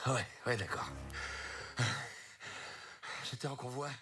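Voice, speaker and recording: An actor playing a panicked truck driver trapped and pleading urgently for help in a film drama. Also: male voice, medium pitch, big pitch swings, slow, sharp tone, heavily acted.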